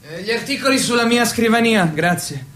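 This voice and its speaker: A man's voice: high-pitched, expressive, moderate pace, a bit bright. An actor playing a weary, evasive male newsroom boss who talks colloquially in a radio drama. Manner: colloquial, hesitant, reluctant. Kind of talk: naturalistic Italian radio drama acting.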